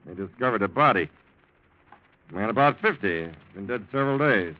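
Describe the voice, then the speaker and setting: A man's voice: fairly low pitch, animated, normal speed, natural voice. A man acting in an old radio drama as a wily, laconic police lieutenant who talks in a probing, hesitant way. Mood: probing, dry. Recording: dull, lo-fi old radio recording.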